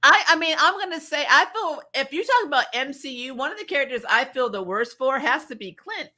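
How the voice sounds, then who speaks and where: A woman's voice: high-pitched, brisk, a little hiss or hum. A woman hosting a casual pop-culture review chat, joking and swearing freely as she talks. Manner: animated joking, swears freely, laughs often. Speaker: host of a review show.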